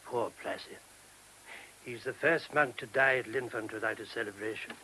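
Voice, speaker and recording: An actor in a radio play voicing a gentle, earnest monk who explains things courteously and a little hesitantly. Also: male voice, medium pitch, moderate pace, natural voice, poor audio. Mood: solemn. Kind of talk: radio drama acting. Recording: hissy old radio recording.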